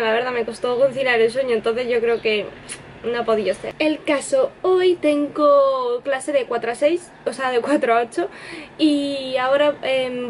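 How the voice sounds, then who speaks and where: A woman's voice: sits high, slight background noise. A young woman with a Castilian Spanish accent chatting casually and effusively to camera in a small apartment. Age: young adult. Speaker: lifestyle vlogger. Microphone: handheld camera or camera on desk, close range.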